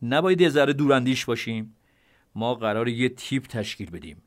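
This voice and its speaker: A man reading an audiobook memoir, voicing a firm, decisive male military commander who speaks in a measured, insistent way. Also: medium pitch, expressive, lightly acted.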